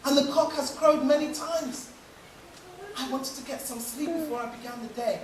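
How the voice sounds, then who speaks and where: A storyteller voicing a patient, dutiful senior wife who speaks low, quiet and measured. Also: slight background noise, close to an everyday voice. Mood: apologetic. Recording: echoey room, distant mic.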